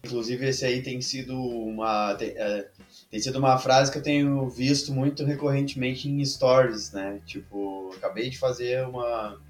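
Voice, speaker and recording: Relaxed man in an echoey room. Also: medium pitch, moderate pace, faint music behind. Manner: relaxed, conversational, hesitant fillers. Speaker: podcast co-host. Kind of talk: casual chat.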